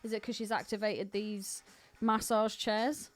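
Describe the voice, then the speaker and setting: A woman's voice: mid-range voice, level tone, measured pace. A British woman co-hosting a film podcast in casual chat, with a cheeky, teasing, bawdy way of talking. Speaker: podcast co-host.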